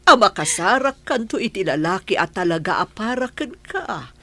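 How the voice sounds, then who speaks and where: A woman playing an older mother figure, consoling yet bluntly realistic, with a husky voice in an audio drama. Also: medium pitch, animated, moderate pace, fairly bright tone. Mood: pleading, blunt.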